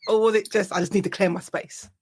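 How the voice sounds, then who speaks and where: A woman's voice: fairly even intonation, fast, darkish tone, breathy edge, dry recording, clean studio sound. A woman hosting a casual interview, talking in a loose, rambling way with easy asides. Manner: conversational, rambling, acts out quotes in a low mock voice. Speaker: podcast host.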